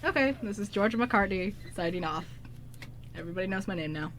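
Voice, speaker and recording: A woman's voice: medium pitch, fairly quick, a little hiss or hum. A young woman hosting a radio show, chatting casually and gigglily with a group. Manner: giggly, animated. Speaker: radio show host and scriptwriter.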